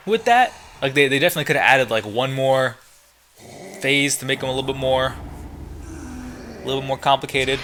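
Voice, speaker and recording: A man's voice: mid-range voice, sharp tone, slight background noise. A man doing casual, sweary gameplay commentary as a let's player.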